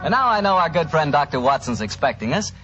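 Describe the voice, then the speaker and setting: A young American man hosting a 1940s radio show, giving scripted sponsor pitches and chat in a folksy, emphatic way. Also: sing-song, fast, over music. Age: young adult. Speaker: radio announcer and host. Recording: muffled vintage 1940s radio broadcast.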